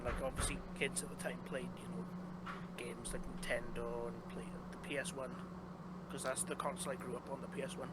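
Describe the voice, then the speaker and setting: Rambling young Welsh man, noisy line. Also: mid-range voice. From Wales. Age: young adult. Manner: rambling, many fillers and restarts. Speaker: guest game developer. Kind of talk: casual interview chat. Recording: noisy background.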